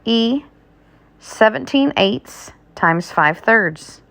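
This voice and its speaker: A woman teacher reading math test questions aloud, deliberately, item by item. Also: on the high side, animated, vocal fry, fairly bright tone, dry recording.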